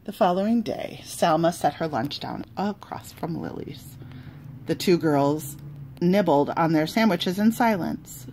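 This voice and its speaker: A woman reading a children's storybook aloud in an expressive, deliberate way, pausing between phrases. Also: fairly low pitch, a little hiss or hum, rough recording. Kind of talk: storybook read-aloud with asides.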